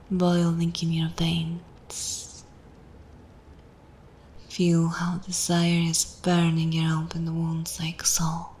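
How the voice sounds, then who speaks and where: Woman acting a slow, whispering vampire mistress. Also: on the low side, a little mellow. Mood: seductive menace. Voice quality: breathy whisper. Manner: slow, commanding, theatrical, drawn-out phrases. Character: seductive, cruel, jaded vampire mistress taunting her human prey. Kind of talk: ASMR roleplay, dark fantasy.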